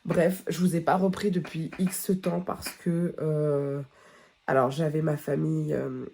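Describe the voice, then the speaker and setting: Gravelly woman with a head cold. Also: sits low, little pitch movement, a little slow, mellow, slight background noise. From France. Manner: rambling, many fillers and restarts. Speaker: beauty vlogger. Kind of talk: casual chat to camera. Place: at home, early morning.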